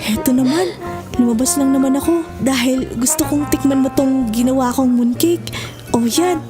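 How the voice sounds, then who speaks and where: A woman's voice: high voice, moderate pace, natural voice. A woman playing a playful young friend who teases, in a Filipino audio drama with a Filipino accent. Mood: playful teasing. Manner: teasing, giggly, sing-song. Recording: music behind the voice.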